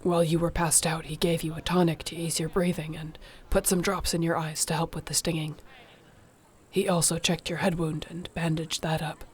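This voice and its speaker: A woman playing a protective, tender orc warrior girlfriend in an intimate audio roleplay, speaking in a soft, earnest way. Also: sits low, little pitch movement, normal speed, lightly acted. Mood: tender, relieved. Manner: soft, earnest, soothing, partly whispered.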